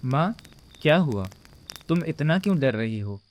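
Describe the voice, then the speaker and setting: A man's voice: mid-range voice, sing-song, a little slow. A man voicing an uneasy young villager trying to stay brave in horror cartoon dialogue, questioning as he goes. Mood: concerned.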